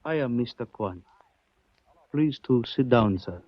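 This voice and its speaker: An actor playing a courtly Chinese jade merchant in 1940s radio style, measured and ceremoniously polite. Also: male voice, medium pitch, lively intonation, no room sound. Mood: courteous, reserved. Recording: dull old radio recording.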